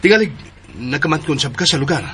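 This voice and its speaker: A man's voice: fairly high pitch, lively intonation, fast talker, background noise, close to an everyday voice. A male actor playing a father searching for his wife in a Philippine radio drama, with a Cebuano Filipino accent. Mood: urgent.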